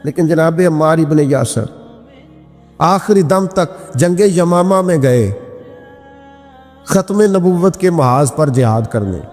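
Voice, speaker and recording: High-pitched Punjabi-accented male preacher, echoing hall. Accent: Pakistani Punjabi. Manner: impassioned, rhetorical, slow emphatic delivery with swelling exclamations. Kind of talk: sermon with storytelling and recited Punjabi verse. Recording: echoey hall reverb with music behind. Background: background music.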